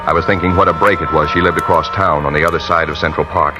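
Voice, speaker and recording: Haunted noir killer on the run, deep voice, halting and tense, old radio show w loud music. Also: male voice, fairly even intonation, poor audio. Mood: brooding, tense. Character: haunted, desperate man on the run, narrating his crime. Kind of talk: 1940s-50s radio noir acting, confessional narration and dialogue.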